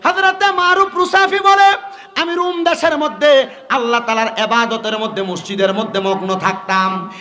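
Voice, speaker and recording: A man's voice: extremely high pitch, moderate pace, bright, forceful tone. A man delivering an Islamic sermon, exhorting his listeners directly and prompting them to respond. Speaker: Islamic preacher. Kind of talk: waz sermon to a gathering.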